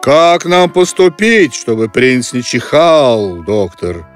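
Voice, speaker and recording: A man's voice: medium pitch, sing-song, takes it slowly, soft background music. A man voicing a worried, commanding king in a fairy-tale cartoon, with a regal, declarative manner.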